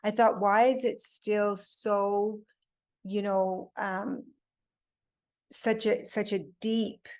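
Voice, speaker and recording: An older woman from Belgium and Italy telling her personal faith story unscripted, in a reflective, halting way with emphatic pauses. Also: mid-range voice, level tone, a little mellow. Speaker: group member giving a personal testimony.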